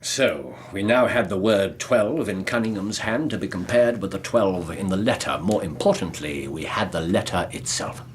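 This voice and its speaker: An actor playing a brisk, clever, self-assured Victorian English detective in a radio drama, precise, theatrical and wry. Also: male voice, low voice, a little hiss or hum, lightly acted. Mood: crisp explaining.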